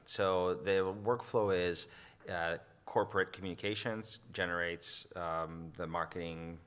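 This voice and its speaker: A man's voice: low-pitched, pushed and bright, smooth. A man presenting at a conference, answering audience questions with many restarts and fillers. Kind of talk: Q&A after a conference talk.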